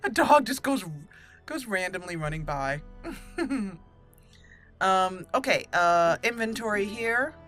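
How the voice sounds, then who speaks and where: Bright-voiced woman gaming host. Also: mid-range voice, expressive, slow pace, pauses often, faint music behind. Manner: chatty, thinking aloud. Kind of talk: casual let's play commentary.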